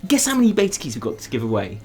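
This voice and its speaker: British man, highly varied intonation, casual comedic co-host banter, tongue-in-cheek, soft background music. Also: huge pitch swings, very fast, vocal fry. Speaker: show co-host.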